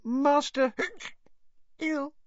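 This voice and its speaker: A man's voice: extremely high pitch, drawn-out words, no room sound, pitched above the performer's own voice, exaggerated voice. A man voicing a tipsy, weepy little female house elf whose speech is slurred and broken by hiccups, in a dry, dull recording. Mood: tipsy hiccuping.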